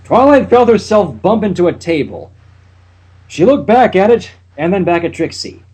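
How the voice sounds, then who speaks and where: Male host reading aloud, husky, lively pitch, wry asides between passages. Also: moderate pace. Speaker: channel host and reader. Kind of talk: casual fan fiction reading.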